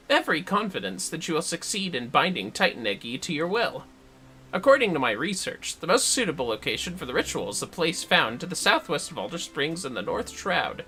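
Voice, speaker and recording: Male performer as formal female ritual scholar in a fantasy game: raised pitch, measured, earnest, bright. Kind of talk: video game quest dialogue read aloud.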